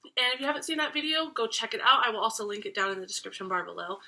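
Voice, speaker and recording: A woman's voice: on the high side, animated, rapid-fire, vocal fry, sharp tone. A young woman in her twenties, congested from a cold, chatting casually to camera about parenting, with many ums and restarts. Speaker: parenting vlogger.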